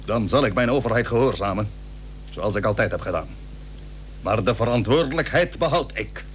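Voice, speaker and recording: A man's voice: fairly low pitch, lively intonation, normal speed, lightly acted. An actor playing a stern, proud admiral and cautious seaman in a historical radio play, speaking formally and emphatically. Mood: sober, stubborn. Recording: old radio recording with faint hum.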